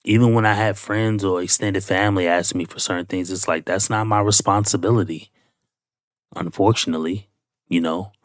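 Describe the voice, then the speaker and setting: Reaction host, male, very low voice, dry close sound, musing at length. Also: fairly even intonation, no room sound. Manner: reflective, conversational, drawn-out musing. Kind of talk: reaction commentary.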